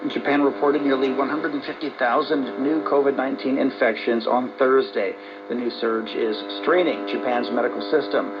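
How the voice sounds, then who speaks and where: A man's voice: mid-range voice, steady tone, normal speed. A man reading scripted news as an anchor, heard on a very noisy, dull shortwave radio broadcast.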